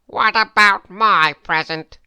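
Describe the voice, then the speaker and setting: A woman's voice: medium pitch, slow, sharp tone, clear, resonant tone, no echo, pitched above the performer's own voice, heavily acted. A woman voicing a stingy male storybook creature with a harsh, grumbling, complaining delivery. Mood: indignant.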